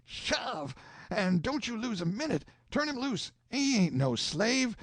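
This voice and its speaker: Man playing a boastful young country boy, eager, lively, mellow, dry close sound. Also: high-pitched, moderate pace, no room sound, pitched above the performer's own voice. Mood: urgent.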